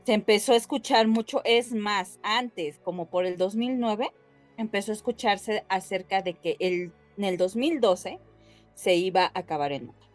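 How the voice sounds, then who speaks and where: A woman's voice: medium pitch, moderate pace, faint music behind. A woman co-hosting a podcast, casually telling stories to her co-hosts in a playful, colloquial way. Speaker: podcast co-host.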